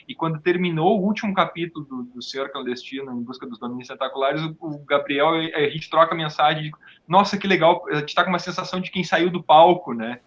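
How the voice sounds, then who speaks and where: A man's voice: on the high side, a bit bright, highs cut off. A man with a southern Brazilian accent from Rio Grande do Sul, talking casually as an interview guest and often repeating words as his thoughts form. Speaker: theatre company founder, interview guest.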